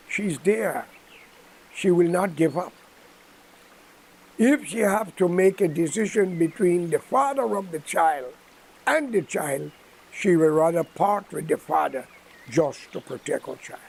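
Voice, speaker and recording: A man's voice: high voice, gravelly, a little mellow, husky. An older man with a Guyanese accent giving an impromptu political address in a preachy, admonishing, emphatic manner. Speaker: political commentator.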